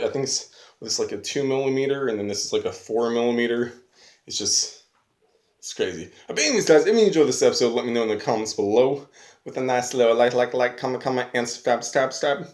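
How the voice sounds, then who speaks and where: A man's voice: fairly low pitch, darkish tone. A man giving a casual, unscripted video review, rambling enthusiastically in slangy everyday language, recorded on a phone. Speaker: online video host, reviewer. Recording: roomy echo on phone camera.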